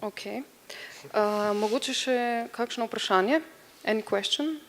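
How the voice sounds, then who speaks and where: A woman's voice: fairly high pitch, measured pace, a little hiss or hum. A woman moderating a post-performance talk, asking the performers thoughtful questions. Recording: reverberant hall.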